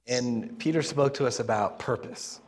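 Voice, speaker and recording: Male pastor, raspy strained voice at medium pitch, informal expository preaching, earnest and conversational. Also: normal speed. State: strained, raspy voice, working for volume.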